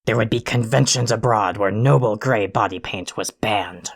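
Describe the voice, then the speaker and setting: An actor as a gravelly, irritable alien troll. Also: medium pitch, normal speed. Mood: pompous, grumpy. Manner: ranting, insulting, pompously wordy. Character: irritable, foul-mouthed, bossy alien troll, self-important. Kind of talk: audio drama acting.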